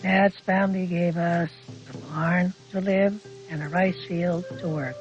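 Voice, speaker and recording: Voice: medium pitch, steady tone, unhurried. An older speaker recalling their life in plainspoken, short, reflective phrases. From Canada. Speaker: interviewee recalling childhood. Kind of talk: personal oral-history storytelling. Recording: dull lo-fi audio under music. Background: music.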